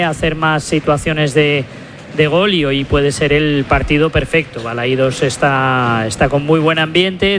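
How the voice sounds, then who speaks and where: A man with a fairly high voice reporting from a stadium, steady and analytical. Faint outdoor ambience and traffic noise sit behind him. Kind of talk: live match report.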